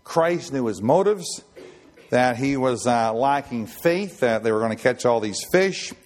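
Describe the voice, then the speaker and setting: A man in his sixties with a raspy voice, giving an informal Bible teaching and rambling with many fillers and restarts. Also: mid-range voice, expressive, measured pace, darkish tone. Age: 60s. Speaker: ship chaplain preacher.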